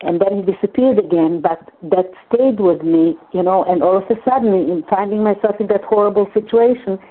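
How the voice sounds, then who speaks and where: An older woman whose first language is Hungarian, sharing in a recovery meeting in a halting, earnest way, often repeating words. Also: mid-range voice. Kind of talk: personal share in a phone recovery meeting. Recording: tinny phone line.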